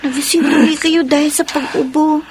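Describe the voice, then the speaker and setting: An actress plays a caring young child looking after a sick parent in a radio drama. The high voice is gentle, pleading and respectful, over clearly noisy audio. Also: female voice, steady tone, normal speed, natural voice. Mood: worried.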